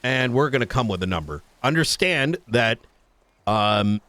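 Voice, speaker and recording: A man's voice: mid-range voice, animated, a little slow, bright, forceful tone. A man playing a blunt, foul-mouthed police chief in an improvised roleplay argument, talking casually and argumentatively. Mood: firm, insistent.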